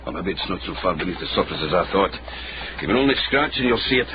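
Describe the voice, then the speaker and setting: An actor playing a dry, sardonic Scottish investigator in a period radio drama, wry and understated. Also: male voice, deep voice, animated, quick pace. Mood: wry, cautioning. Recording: muffled, noisy radio recording.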